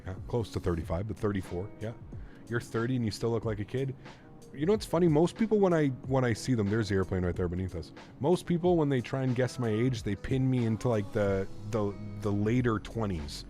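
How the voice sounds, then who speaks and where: A man in his thirties streaming a flight sim, chatting casually with viewers and telling a story, relaxed and chatty with plenty of fillers. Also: lowish voice, brisk, faint music behind. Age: 30s. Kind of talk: casual live-stream chat reading viewer comments.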